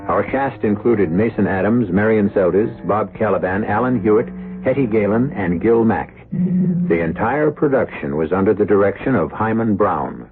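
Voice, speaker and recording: Radio mystery host, American man, theatrical scripted narration, very animated intonation, narrow vintage broadcast sound over music. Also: fairly low pitch. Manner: measured, dramatic pauses. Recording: tinny old radio, loud music behind.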